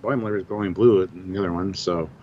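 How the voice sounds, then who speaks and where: Dry, low-voiced man on dull call audio. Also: fairly even intonation, quick pace. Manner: dry, sardonic. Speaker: co-host. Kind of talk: casual live discussion. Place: home room. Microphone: video call.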